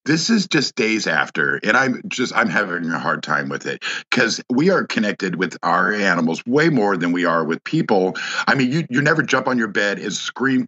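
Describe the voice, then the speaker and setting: A man speaks in a fairly low yet bright, forceful voice, telling a personal story as a podcast guest. He stops and restarts often and fills gaps with "uh" and "you know." The audio sounds dull and lo-fi, like a remote connection. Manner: halting, many restarts and fillers. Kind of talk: casual conversation, personal story.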